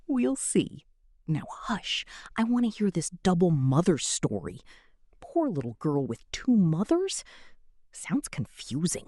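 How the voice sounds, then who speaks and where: A woman's voice: on the low side, very animated, slow pace, dark tone, no room sound, heavily acted. A woman playing a dry, wry older wife who brushes off her husband in a domestic comedy sketch. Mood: amused, shushing. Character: dry, unimpressed older wife, teasing but fond.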